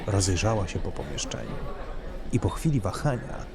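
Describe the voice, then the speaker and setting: A man's voice: low voice, big pitch swings, normal speed. A man reading scripted literary narration for an audio drama. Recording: clear background noise of urban ambience.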